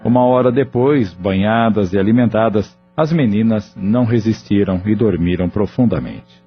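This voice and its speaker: A man narrating an audio drama in a steady, measured way. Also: lowish voice. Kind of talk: scripted narration. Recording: dull lo-fi audio with faint music.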